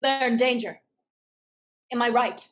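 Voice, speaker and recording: A woman's voice: fairly high pitch, steady tone, measured pace, a bit bright. A woman playing a fierce, protective older sister, direct and firm, in a staged play reading. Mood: urgent.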